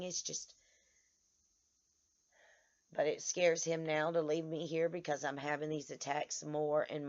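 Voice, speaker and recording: A hoarse woman vlogging casually to her followers outdoors, tired and halting, often restarting her words. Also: deep voice, darkish tone, muffled recording. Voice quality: halting, many restarts and stammers. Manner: tired, speaks in short broken phrases. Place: outdoors in the sun.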